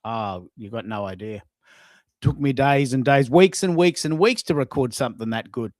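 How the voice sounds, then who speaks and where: A man's voice: mid-range voice, normal speed, darkish tone, no echo. Chatty middle-aged man, dry close sound. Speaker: host of a live social audio show. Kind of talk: casual live-stream chat.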